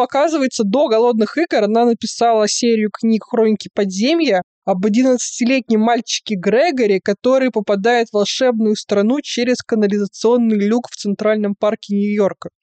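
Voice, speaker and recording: A woman's voice: fairly high pitch, a little mellow, no echo. A woman hosting a podcast, friendly, asking long rambling questions. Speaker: podcast host. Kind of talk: casual interview chat.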